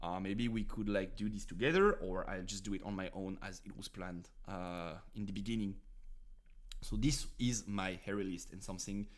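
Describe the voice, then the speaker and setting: A man's voice: sits low, steady tone, normal speed. A young man from France with a French accent, streaming casually and chatting with viewers about card game decks, rambling with many fillers and restarts.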